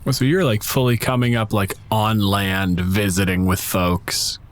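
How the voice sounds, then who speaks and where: A man's voice: lowish voice, flat delivery, unhurried. A man hosting a casual improvised interview, with a wry, probing way of asking questions. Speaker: podcast host and interviewer.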